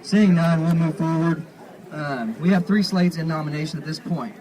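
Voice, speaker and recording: Man, fairly high voice, meeting chair, formal announcements, deliberate, halting, outdoors. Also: darkish tone, low-quality recording. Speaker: convention chair running a meeting. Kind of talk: formal parliamentary procedure, announcing to an assembly. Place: outdoors at a parking lot gathering.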